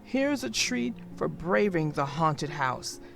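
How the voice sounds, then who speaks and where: A friendly grown-up mole in a children's story, matter-of-fact and kind, as a woman reads him. The pace is slow and the voice has a faint gravelly texture. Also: deep voice, very animated, music in the background, voice pushed down, heavily acted. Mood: kindly.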